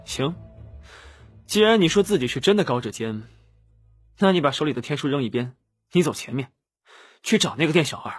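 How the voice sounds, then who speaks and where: A man's voice: a little high, animated, brisk, long pauses, husky, no echo, soft background music, pitched above the performer's own voice. A man voicing a suspicious, hot-tempered young swordsman in a fantasy audiobook, curt and commanding.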